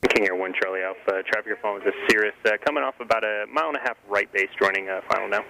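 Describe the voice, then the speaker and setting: A man working as an air traffic controller, giving clipped clearances over an aviation radio. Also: lowish voice, racing, sharp tone. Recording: noisy crackly aviation radio link.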